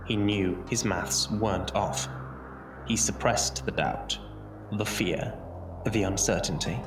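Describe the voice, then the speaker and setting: Low-voiced male storyteller in a roomy echo. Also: slow pace. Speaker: narrator. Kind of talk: dramatic scripted storytelling narration. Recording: roomy echo under music. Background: music.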